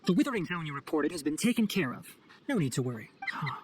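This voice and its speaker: Brisk, articulate young forest ranger. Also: male voice, on the high side, sing-song, a little hiss or hum. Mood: composed, urgent. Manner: articulate, formal, explanatory. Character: earnest young male forest ranger, scholarly and composed. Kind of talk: video game cutscene voice acting.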